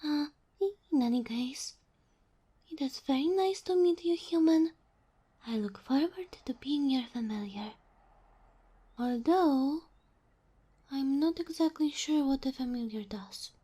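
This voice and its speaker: A woman voicing a sweet, curious young kitsune fox girl in a soft ASMR roleplay, breathy and often near a whisper, speaking closely to the listener with naive, hesitant wonder. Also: high voice, expressive, unhurried, long pauses. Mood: friendly, shy.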